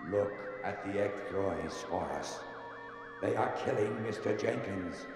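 A man playing a grave, urgent, selfless cherubim creature in an audio drama, speaking deliberately. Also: lowish voice. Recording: echoey hall reverb, music behind.

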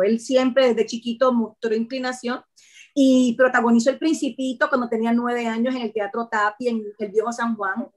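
A middle-aged woman, an actress chatting on a video call as an interview guest, expressive and laughing often. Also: fairly high pitch. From Puerto Rico. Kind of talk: casual interview chat.

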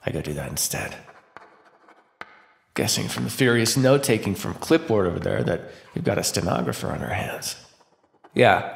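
A man's voice: on the low side, gravelly, full character voice. A man playing a defiant captive vampire in an ASMR roleplay, talking with sarcastic, taunting flippancy. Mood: taunting, teasing. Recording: roomy echo.

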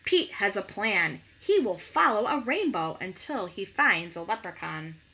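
A middle-aged woman reading a picture book expressively to young children, pausing to ask them questions. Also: medium pitch, big pitch swings, bright, forceful tone, low-quality recording. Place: small living room at home, indoors.

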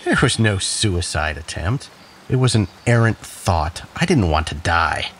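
A man's voice: sits low, very animated, measured pace, a bit bright, a little hiss or hum, heavily acted. A man playing an arrogant, sardonic and defensive man with a flippant manner in an audio drama.

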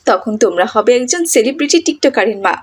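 A woman's voice: on the high side, animated, fairly quick, slightly rough. A woman voicing a flippant, scheming teenage older sister in a cartoon, with a breezy, wheedling manner. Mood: pleading.

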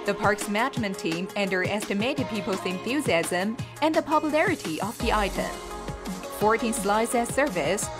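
A young woman narrating a travel vlog in a cheerful, playful scripted style. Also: mid-range voice.

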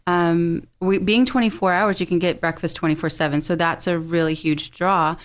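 A woman's voice: on the low side, fairly even intonation, normal speed, dull audio. A woman from San Diego, a restaurant owner, talking upbeat and at length as a guest on a radio show. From San Diego, California. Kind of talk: casual radio interview.

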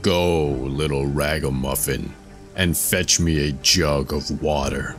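A man voicing a huge, clownish and stupid male giant, speaking in a slow, ponderous growl. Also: extremely low pitch, steady tone, fairly bright tone, soft background music, full character voice. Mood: gruff.

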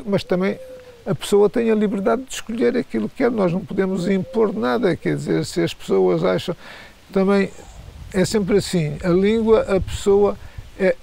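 A man's voice: high-pitched, gravelly, darkish tone. An older man, answering interview questions hesitantly, with frequent restarts and repeated words.

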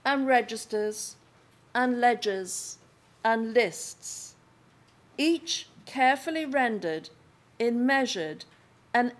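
An older woman from England reading a passage of her own book aloud at a lectern in a lecture room, in a deliberate, phrase-by-phrase delivery. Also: on the high side. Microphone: lectern microphone.

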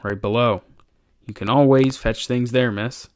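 A man's voice: medium pitch, steady tone, measured pace, smooth, dry recording, clean studio sound, lightly acted. A man voicing a decrepit old sailor in an audiobook, deferential, hesitant and murmuring. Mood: cringing, helpful. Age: older. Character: decrepit old sailor, cringing, humble, dutiful. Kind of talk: audiobook character voice.

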